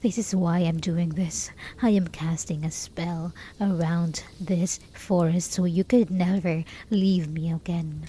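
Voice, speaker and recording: A woman playing a lovesick, possessive, desperate hermit spellcaster in a soft, intimate ASMR roleplay, often slipping into whispers. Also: fairly low pitch, steady tone, measured pace, few pauses, slightly rough. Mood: intense whispering. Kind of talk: ASMR roleplay, scripted dramatic monologue.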